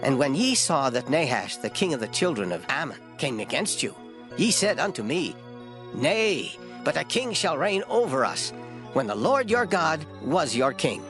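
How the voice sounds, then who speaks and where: An actor playing an old, gray-headed prophet, solemn, stern and declamatory, in a dramatized scripture reading. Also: male voice, a little high, big pitch swings, normal speed, over music. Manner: declamatory, grave.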